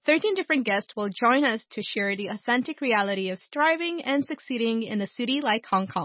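A woman's voice: fairly high pitch, fairly bright tone, dry recording, muffled recording. A woman from Pakistan hosting a radio talk show, introducing guests in an upbeat, welcoming way. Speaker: radio host.

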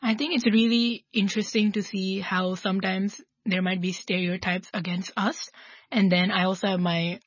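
A woman's voice: fairly low pitch, no echo, lo-fi sound. A young woman in her twenties from Hong Kong, answering interview questions in a chatty way with many fillers and light laughs. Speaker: guest, university student.

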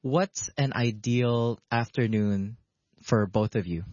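A man's voice: mid-range voice, slow pace, a little mellow, no echo. A man hosting a radio talk show in a studio, chatting in a friendly, playful way with his guests. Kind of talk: casual radio chat. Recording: dull dry studio sound.

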